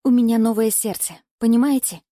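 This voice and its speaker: A woman's voice: a little high, steady tone, fairly quick, dark tone, no echo, studio quality, natural voice. A woman narrator voicing an earnest young adult daughter, pleading and soothing yet resolute. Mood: pained resolve.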